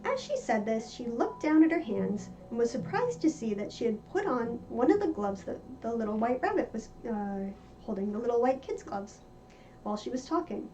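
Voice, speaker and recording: High-pitched, animated woman reading a story. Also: normal speed. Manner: theatrical, expressive. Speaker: storybook reader, cosplayer in character. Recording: muffled lo-fi with music behind. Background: faint music.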